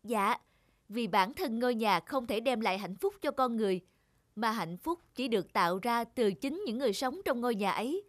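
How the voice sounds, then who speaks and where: A woman's voice: fairly high pitch, animated, moderate pace, dry recording, clean studio sound. A woman voicing an earnest, polite young male architecture student in a cartoon moral tale, with neat enunciation. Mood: earnest, enlightened. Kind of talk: animated moral tale dubbing.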